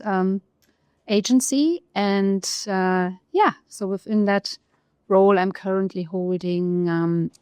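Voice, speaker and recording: A woman's voice: mid-range voice, a little mellow, smooth, dry recording. German-accented woman, dry close sound. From East Germany. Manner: thoughtful, pauses often with fillers. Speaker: guest, research economist. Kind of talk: podcast interview, career story.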